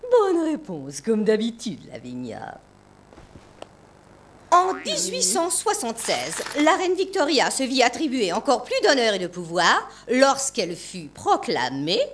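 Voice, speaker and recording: A woman's voice: a little high, wildly swooping pitch, a little slow, a bit bright, a little hiss or hum, full character voice. A woman playing a strict, greedy, two-faced boarding school headmistress in a French cartoon dub, with a prim, haughty manner. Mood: stern, lecturing. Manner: prim, haughty, syrupy with rich parents.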